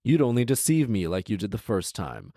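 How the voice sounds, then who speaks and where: A man's voice: lowish voice, huge pitch swings, brisk, warm tone, dry recording, studio quality, exaggerated voice. A man voicing a wary, stubborn young male student in a comic storybook reading.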